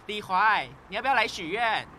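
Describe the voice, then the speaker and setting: A young man voicing a chatty, teasing high school boy who talks eagerly. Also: animated, fairly quick, bright, forceful tone, slight background noise, exaggerated voice, low-quality recording.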